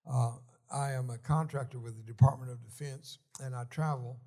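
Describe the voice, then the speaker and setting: A man in his seventies giving a folksy, joking testimony sermon while seated in a church, often halting and restarting. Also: mid-range voice, steady tone, warm tone. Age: 70s. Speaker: guest speaker at a church.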